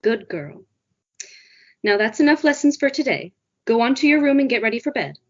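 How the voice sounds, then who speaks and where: A woman's voice: fairly high pitch, big pitch swings, normal speed, husky, dull audio. An actress playing a kindly but controlling older female teacher, sweet and coaxing yet insistent, in an audio drama.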